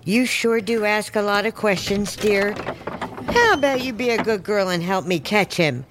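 Woman playing sly older great-aunt, animated delivery. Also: mid-range voice, moderate pace, noisy background, natural voice. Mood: sly, evasive. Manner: coaxing, condescending endearments. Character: sly, folksy great-aunt, sweetly evasive and nudging. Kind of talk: audio drama acting.

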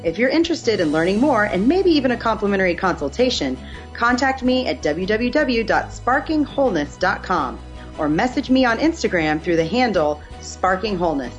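A woman's voice: mid-range voice, sing-song, normal speed, soft background music. A woman who hosts a podcast and works as a health coach, speaking to listeners and guests. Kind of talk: podcast interview and scripted promo.

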